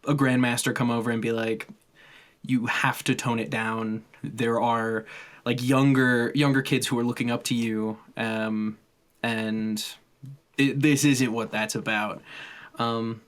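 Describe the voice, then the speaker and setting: A man in casual chat, talking haltingly with many fillers and self-deprecating asides. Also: mid-range voice, flat delivery.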